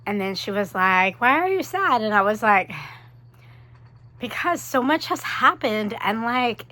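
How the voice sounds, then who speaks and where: A woman vlogging to her camera in a chatty, animated way, with a cold and her voice starting to fade. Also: on the high side. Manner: chatty, animated, quick asides. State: sick with a cold, voice fading. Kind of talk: casual chat to camera.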